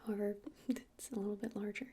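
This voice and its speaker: A woman playing a gentle crystal-shop witch in an ASMR roleplay, whispering breathily and explaining things unhurriedly with hesitant pauses. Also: medium pitch, level tone. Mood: soothing, earnest. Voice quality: breathy whisper with creaky edges.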